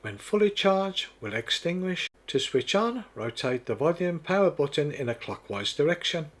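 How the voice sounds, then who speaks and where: A man's voice: a little high, very animated, normal speed, a bit bright. A man narrating a scripted product demonstration in a methodical, step-by-step explaining manner. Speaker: product reviewer.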